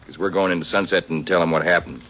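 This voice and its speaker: An actor playing a remorseful but resolute cowboy, earnest and plain-spoken, in a 1950s radio western. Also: male voice, sits low, quick pace, fairly bright tone. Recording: dull vintage radio recording with faint noise.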